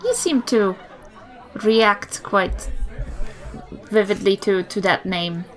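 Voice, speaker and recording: Woman as fussy, affectionate old grandmother, New Zealand accent, mid-pitched, for a roleplaying game. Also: takes it slowly, soft background music, a faint crowd, close to an everyday voice. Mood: concerned. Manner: fussing, affectionate endearments. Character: doting, motherly old granny.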